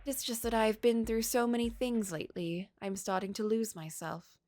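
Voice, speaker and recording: A woman's voice: on the high side, steady tone, moderate pace, darkish tone, dry recording, lightly acted. A proud young princess from a storybook, played by a woman narrator. Her speech is formal and self-assured, with a stubborn streak. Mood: troubled. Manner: formal, declarative phrasing.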